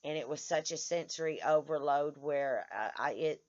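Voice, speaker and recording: Hoarse woman, vlogger, tired, halting delivery full of restarts; muffled home-video sound. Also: low-pitched, a little mellow. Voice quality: halting, many restarts and stammers. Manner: tired, speaks in short broken phrases. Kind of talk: casual vlog to followers. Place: outdoors in the sun.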